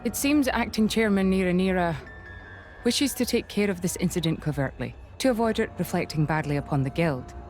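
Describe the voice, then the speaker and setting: A woman's voice: fairly low pitch, lively intonation, a little fast, darkish tone, soft background music, close to an everyday voice. A woman playing a dutiful, composed military commander in a video game, speaking formally and with measure.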